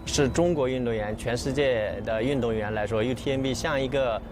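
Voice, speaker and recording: A man's voice: mid-range voice, a little fast, background noise. A man in his thirties from China, giving interview answers. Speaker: professional trail runner. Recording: loud music behind.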